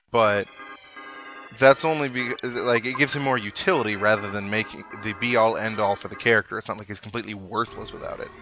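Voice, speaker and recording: A man giving casual, rambling let's play commentary, thinking aloud as he plays. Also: mid-range voice. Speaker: gaming host. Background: video game music.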